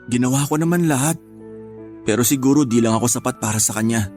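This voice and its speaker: An actor voicing a gentle older brother after a breakup. His voice sits in an ordinary middle range, quiet and sighing, with self-blame running through it. Soft music plays beneath him. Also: medium pitch, fast, close to an everyday voice. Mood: sad. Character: heartbroken, gentle older brother, young man. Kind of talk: radio drama acting.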